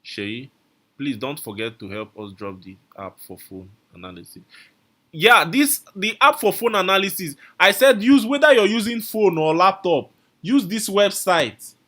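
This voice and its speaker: A man's voice: high voice, expressive, unhurried, sharp tone. A man teaching a live online coding class, repeating his instructions and calling out viewers' names from the chat. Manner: repetitive, coaxing, calls out viewer names.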